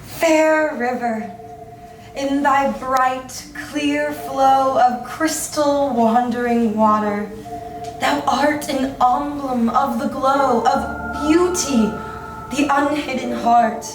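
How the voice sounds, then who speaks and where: A woman giving a solemn, measured, theatrical reading of dramatic poetry. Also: high-pitched, a little hiss or hum. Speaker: performer of poetry. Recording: roomy distant recording under loud music.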